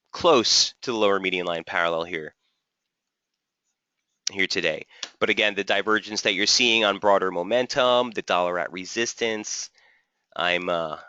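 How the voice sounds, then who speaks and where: A slightly hoarse man hosts a live trading webinar in a low, forceful voice. He walks through price charts and answers viewers' typed questions. The recording is dry and a bit muffled. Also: no room sound. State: slightly hoarse, losing his voice. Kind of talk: live market analysis webinar.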